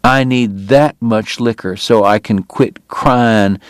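A consumptive frontier dentist, proud and bitter, speaking in slow, pained, formal sentences that rise high and strained when his temper flares, as a male reader puts him on. The voice is dry and close, with no room around it. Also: animated, dry recording, natural voice. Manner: pained, proud, formal diction breaking into anger. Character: ailing, bitter consumptive Old West dentist, man.

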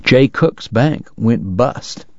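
A man's voice: mid-range voice, lively intonation, very slow, gravelly, no room sound, full character voice. A man voicing a brusque middle-aged doctor who speaks in a clipped way. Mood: chatty. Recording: dull lo-fi dry recording.

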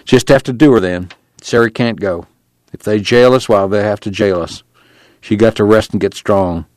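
A man's voice: lowish voice, moderate pace, a little mellow, no echo, close to an everyday voice. A man voicing a worried, stubbornly kind poor migrant man in an audiobook. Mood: resigned.

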